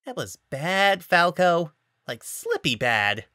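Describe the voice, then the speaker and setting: Man voicing a high, scornful feline mercenary woman. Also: big pitch swings, takes it slowly, pushed and bright, no echo, studio quality, exaggerated voice. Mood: disgusted. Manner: biting, scornful. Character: sarcastic, aggressive female feline mercenary, foul-mouthed.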